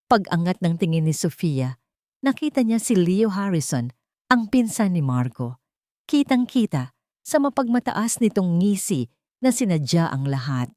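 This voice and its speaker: A woman with a low-pitched voice narrates a scripted drama as a storyteller. The recording is very dry and close, with no room sound around her. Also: very animated, a little slow, mellow, no room sound.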